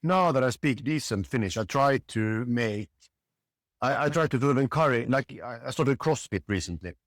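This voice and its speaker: A middle-aged man, a guest in a casual interview, talking in halting bursts with many restarts. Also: mid-range voice, expressive, no echo, clean studio sound. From Sweden. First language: Swedish. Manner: halting, restarts often, frequent "yeah". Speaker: podcast guest.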